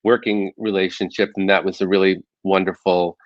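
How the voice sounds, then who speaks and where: Low-voiced host man, muffled call. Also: level tone, moderate pace, dry recording. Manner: warm, rambling, laughs often. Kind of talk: casual interview chat.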